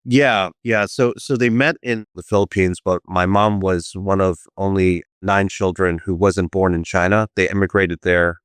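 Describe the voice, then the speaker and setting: A man with a very low, smooth and resonant voice answers interview questions in a thoughtful, explanatory way. He sits close to a dry microphone with no room sound. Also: steady tone, normal speed, hardly any pauses, no room sound. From United States. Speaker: guest, firm co-founder.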